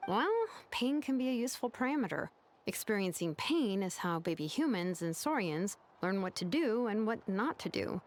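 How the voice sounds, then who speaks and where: A woman's voice: mid-range voice, animated, normal speed, close to an everyday voice. A woman playing a brainy young mechanic in a video game, casual and analytical as she explains things. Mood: explanatory.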